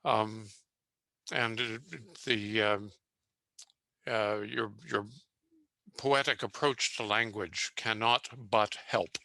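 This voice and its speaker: Older male publisher, halting and hesitant, dry close sound. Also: lowish voice, fairly even intonation, vocal fry, pushed and bright, no echo. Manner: hesitant, halting, many fillers and restarts. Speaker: publisher on a pitch panel. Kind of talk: giving feedback on a video call.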